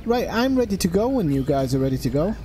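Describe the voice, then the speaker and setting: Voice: mid-range voice, sing-song, fast talker, warm tone, a little hiss or hum. A livestream host chatting casually with friends while playing, apologetic and thinking aloud with fillers. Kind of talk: casual gaming chat with friends.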